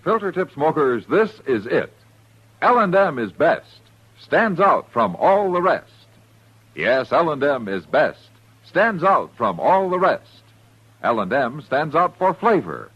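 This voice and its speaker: A man's voice: on the high side, very animated, takes it slowly, slightly rough. An American man delivering a punchy, slogan-driven 1950s radio commercial as announcer. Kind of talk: 1950s radio advertising pitch. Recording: muffled old-time radio recording.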